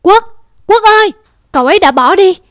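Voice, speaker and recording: Woman voicing an earnest young man, high-pitched. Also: expressive, moderate pace, dry recording. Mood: distraught. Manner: emotive, pleading. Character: earnest, loyal young man, a prosperous householder. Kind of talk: cartoon folk tale dubbing. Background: music.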